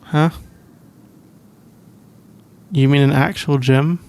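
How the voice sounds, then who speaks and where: A man's voice: a little high, steady tone, vocal fry, heavily acted. A man with a British accent playing a sleepy, shy college boyfriend in an intimate ASMR roleplay, hesitant and rambling. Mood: flustered, amused. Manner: hesitant, halting, rambling with soft laughs. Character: sleepy, shy, easygoing young male college student boyfriend.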